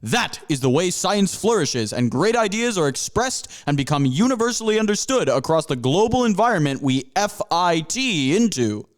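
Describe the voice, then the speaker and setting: A man's voice: high voice, big pitch swings, normal speed, hardly any pauses. A man voicing a pompous panther professor who lectures his students in grandiose, stretched-out phrases. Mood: lecturing. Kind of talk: amateur audio drama.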